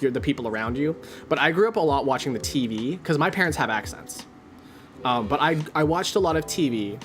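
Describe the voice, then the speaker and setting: Brief: casual man streamer from Canada, General American accent, lively and rambling. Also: mid-range voice. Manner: rambling, heavy use of "like".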